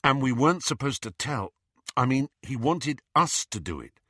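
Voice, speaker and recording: A man's voice: mid-range voice, expressive, fairly bright tone, husky, no room sound, clean studio sound, voice pushed up. Man playing a tense, harried teenage boy hero. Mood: guarded. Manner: halting, urgent.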